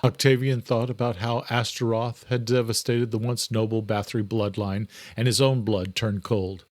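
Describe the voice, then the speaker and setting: A man's voice: on the low side, little pitch movement, moderate pace, darkish tone, dry recording. A man giving scripted, dramatic narration of an audiobook.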